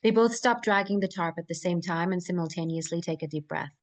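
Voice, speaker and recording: A woman narrates a screenplay at a table read in a fairly low voice. She reads stage directions and scene headings as scripted action. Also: brisk.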